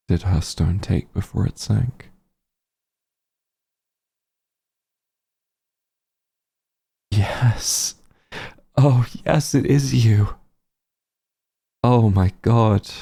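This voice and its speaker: A man playing a remorseful werewolf hunter in an intimate fantasy audio roleplay, speaking gently and haltingly with a husky, emotional voice. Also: fairly low pitch, exaggerated voice. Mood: wistful, reminiscing, then shocked, overwhelmed. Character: werewolf hunter, tender and remorseful, reunited with lost friend. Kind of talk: roleplay audio drama, intimate monologue to listener.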